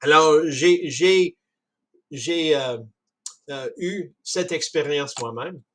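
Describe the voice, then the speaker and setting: A man with a Canadian French accent talking casually about a book, halting often with restarts and chuckles. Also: on the high side, a bit bright. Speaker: speaker discussing a book. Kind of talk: casual spoken commentary.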